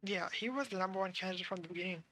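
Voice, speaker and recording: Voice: mid-range voice, rapid-fire, fairly bright tone, a little hiss or hum. A game streamer giving casual playthrough commentary, musing and thinking aloud.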